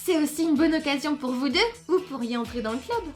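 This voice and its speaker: A woman's voice: sits high, fast talker, a little mellow, faint music behind, natural voice. A woman playing an eager, pushy teenage member of a paranormal club in a French anime fan dub, insistent and enthusiastic.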